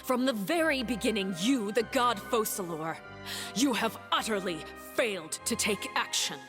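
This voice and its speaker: A woman playing a cold, composed diplomat in a game, speaking in a measured, formal, sardonic and pointed way. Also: a little high, animated, natural voice. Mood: accusing. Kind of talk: dramatic game voice acting. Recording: music under the voice.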